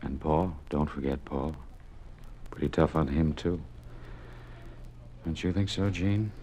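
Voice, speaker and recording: A man's voice: rumbling low, slow, mellow. An actor playing a grave, stern and weary father in a 1950s radio drama, on a noisy vintage recording. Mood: resigned.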